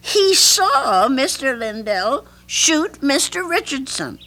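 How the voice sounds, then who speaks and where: An actress playing an anxious, earnest older woman with a troubled conscience in a radio drama, speaking haltingly. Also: high voice, sing-song, pushed and bright, full character voice. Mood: earnest, insistent. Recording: faintly noisy archival radio recording.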